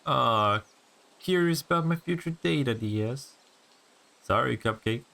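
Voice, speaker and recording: A man's voice: medium pitch, lively intonation, a little slow, vocal fry, sharp tone, exaggerated voice. A man voicing a cocky, flirtatious vampire in a scripted roleplay audio drama, full of sardonic, teasing banter. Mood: mocking, flirtatious.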